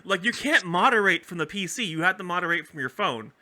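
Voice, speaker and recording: A man co-hosting a podcast in casual, joking chat, animated and often repeating himself as he goes. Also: sits high, brisk. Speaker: podcast co-host.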